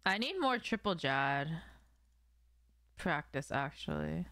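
Lower-pitched young woman, casual game streamer. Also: big pitch swings, takes it slowly, fairly bright tone, no room sound, studio quality. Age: young adult. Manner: slangy, playful, joking with viewers. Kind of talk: casual live stream chat while gaming. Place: small room at home, indoors.

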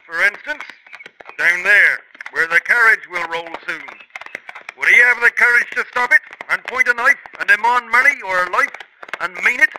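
A man's voice: high-pitched, normal speed, pushed and bright, a little hiss or hum, rough recording. An actor playing a scornful young outlaw son who mocks his brother, in a 1950s radio drama. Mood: sneering. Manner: sneering, eager.

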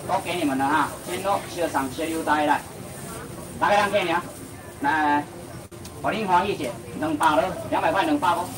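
Young man, Taiwanese accent, fast market-auction patter, heard from a distance over a noisy crowd. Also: high-pitched. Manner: loud sing-song price calling, bantering with the crowd. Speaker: seafood auctioneer. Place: crowded outdoor seafood market under tents.

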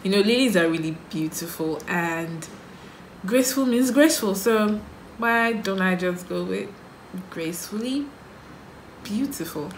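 Slow-talking Nigerian woman in an echoey room. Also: medium pitch, a little hiss or hum. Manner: relaxed, musing, answers her own questions aloud. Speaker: makeup vlogger. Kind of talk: casual get-to-know-me video. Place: small indoor room, sheet backdrop.

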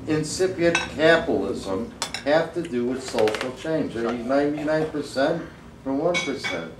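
A man in the audience asking slow, probing questions. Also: medium pitch, expressive, noisy background. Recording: echoey room, distant mic, dish clatter.

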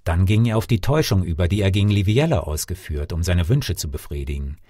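Low, very animated male storyteller. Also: fast, no room sound, studio quality. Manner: dramatic, expressive delivery. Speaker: actor and fairy-tale narrator. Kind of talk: scripted storytelling, audiobook reading.

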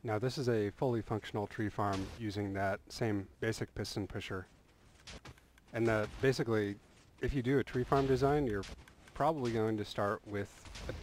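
A man's voice: lowish voice, slight background noise. A man casually talking through a game build in a voice-over, rambling a little with restarts and fillers. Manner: relaxed, rambling explanation with frequent restarts and "uh". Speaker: game tutorial creator.

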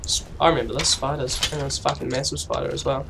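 A young man from New Zealand with a New Zealand accent, commentating a game casually while he has a cold, excitable and sweary with lots of fillers. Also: medium pitch, quick pace, gravelly. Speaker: let's play gamer. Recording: distant mic with noisy background.

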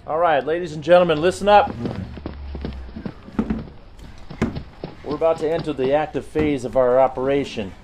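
A man's voice: on the high side, fast talker, natural voice. Man acting a commanding spy agency chief, faint noise. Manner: commanding, businesslike, addressing a team. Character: brisk, authoritative male CIA team chief, a spymaster. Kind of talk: video game cutscene acting, briefing.

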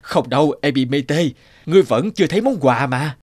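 Male actor as messenger of the gods, formal, persuasive, animated intonation, fairy-tale cartoon. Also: mid-range voice, a little fast, lightly acted. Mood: coaxing.

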